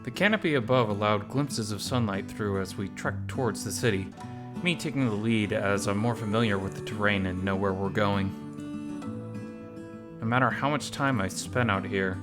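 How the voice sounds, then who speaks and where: A man reading a visual novel aloud as expressive first-person story narration. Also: on the low side. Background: faint music.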